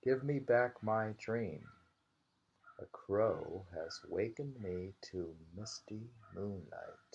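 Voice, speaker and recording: A man reading short poems in an unhurried, halting way, repeating lines slowly and musing on them informally in a dull, lo-fi home recording. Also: lowish voice, creaky, a little mellow, slight background noise. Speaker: reader of a poetry anthology.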